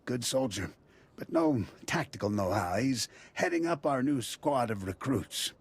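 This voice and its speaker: Husky militia commander. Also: male voice, mid-range voice, expressive, unhurried. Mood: gruff approval. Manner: commanding, plainspoken. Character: battle-seasoned, dour male desert militia leader. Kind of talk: video game dialogue.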